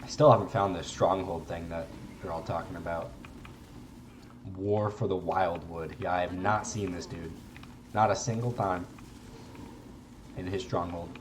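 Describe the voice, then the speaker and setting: A young man with a congested voice, giving relaxed, rambling gameplay commentary and swearing casually. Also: low voice, a little slow, pauses often, noisy background. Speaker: game streamer.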